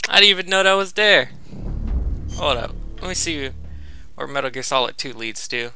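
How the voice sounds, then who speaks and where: Bright, gravelly young man, casual gaming commentary. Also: mid-range voice, animated, normal speed, faint music behind. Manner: laid-back, thinking aloud. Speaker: gamer recording a walkthrough.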